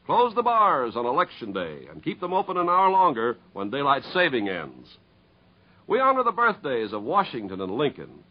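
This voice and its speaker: A male announcer delivers scripted 1950s radio narration. His medium-pitched voice is slightly rough and moves with very lively intonation. The vintage broadcast sounds muffled.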